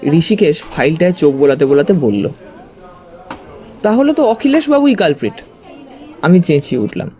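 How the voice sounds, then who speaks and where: An actor playing a detective's curious companion, narrating the story in the first person with expressive storytelling. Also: male voice, fairly high pitch, moderate pace, pauses often, some chatter behind. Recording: music under the voice.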